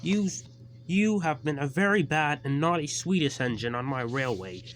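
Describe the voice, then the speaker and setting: A man's voice: a little high, animated, normal speed, slight background noise, pitched above the performer's own voice, lightly acted. A man voicing a stern, pompous male railway boss, scolding in a severe, pompous way.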